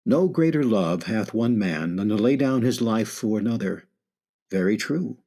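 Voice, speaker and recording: A man playing a solemn, eerie announcer narrating a suspense radio play in a measured, theatrical way, with music underneath. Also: on the low side, lively intonation, warm tone.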